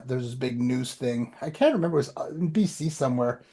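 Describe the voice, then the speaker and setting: A man's voice: medium pitch, fast talker, warm tone, breathy edge. A man telling anecdotes in a rambling, informal way as a podcast co-host. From Canada. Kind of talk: casual chat, anecdotes.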